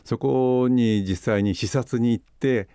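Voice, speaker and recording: A man's voice: mid-range voice, slow pace, dark tone, clear, resonant tone, no room sound, clean studio sound. A man hosting a radio show in polite, conversational talk, explaining his thoughts reflectively. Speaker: radio show host, marketing consultant.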